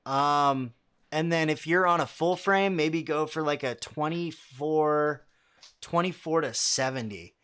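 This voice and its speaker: A young man with a fairly low, bassy voice, hosting a casual livestream and answering chat questions in a halting, filler-heavy way. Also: a bit bright, smooth. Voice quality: fairly low voice with a lot of bass.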